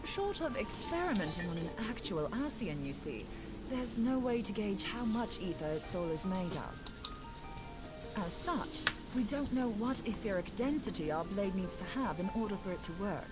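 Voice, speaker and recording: A woman's voice: mid-range voice, sing-song, moderate pace, close to an everyday voice. A woman acting a confident, enthusiastic scholar in a video game, explaining things in a self-assured way. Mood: explanatory, confident. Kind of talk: scripted video game dialogue. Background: loud game music.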